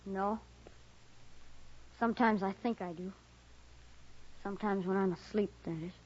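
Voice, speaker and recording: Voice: mid-range voice, fairly even intonation, a little slow, natural voice. A performer playing a wary, guarded young boy in a radio western, speaking in short, blunt phrases. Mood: wistful. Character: wary, guarded young boy raised among Plains tribe. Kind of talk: 1950s radio western acting. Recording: dull old radio recording.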